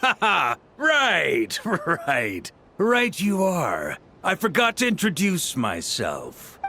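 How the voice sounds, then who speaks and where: An actor playing a hearty, swaggering grand master knight in a video game, booming and theatrical with drawn-out phrases. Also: male voice, wildly swooping pitch, sharp tone, a little hiss or hum, full character voice. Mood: jovial swagger.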